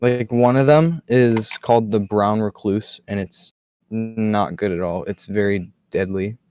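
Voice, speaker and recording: A man's voice: fairly low pitch, unhurried, mellow, smooth, lo-fi sound. An American man hosting a podcast, chatting casually, telling anecdotes and laughing easily. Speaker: podcast host.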